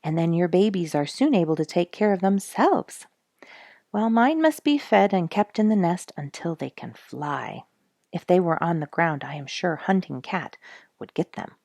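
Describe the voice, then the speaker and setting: A woman's voice: on the low side, lively intonation, moderate pace, vocal fry, a little mellow, dry recording, clean studio sound. Woman voicing a chirpy, earnest little storybook bluebird. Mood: earnest explaining. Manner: chirpy, earnest, sing-song calls. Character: cheerful, worried male bluebird, polite storybook bird.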